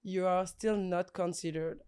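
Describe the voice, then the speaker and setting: A woman's voice: lowish voice, steady tone, a little slow, dark tone, clear, resonant tone. A young woman from Belgium, a guest speaking thoughtfully in a casual podcast interview.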